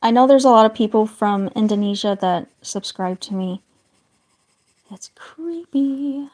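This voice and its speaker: A woman chatting casually with her livestream viewers while she draws, meandering from topic to topic and often trailing off into quiet asides. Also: on the high side, measured pace. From northern United States.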